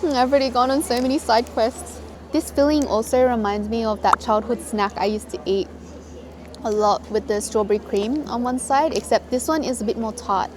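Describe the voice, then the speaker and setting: A woman's voice: a little high, steady tone, normal speed, a crowd in the background. A woman travel vlogger talking casually to the camera, chatty and upbeat with light giggles. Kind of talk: casual vlog chat to camera. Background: background music.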